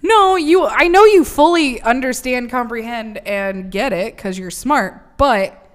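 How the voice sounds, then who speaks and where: A woman's voice: a little high, a little slow. Late-20s woman on a podcast, teasing big-sister vibe, swears a lot, casual. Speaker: podcast co-host. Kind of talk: casual chat.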